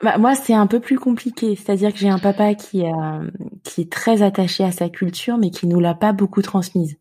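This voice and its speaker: A woman's voice: medium pitch, normal speed, warm tone, breathy edge. A woman interviewer in a casual conversation, engaged and informal, feeling her way through her phrases.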